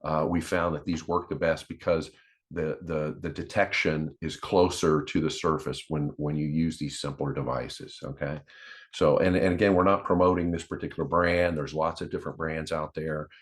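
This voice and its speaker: A man with a very deep voice presents an informal demonstration. He speaks in an instructional way to his viewers, often doubling back on words as he explains how things work. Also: steady tone. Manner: halting, repeats words while explaining.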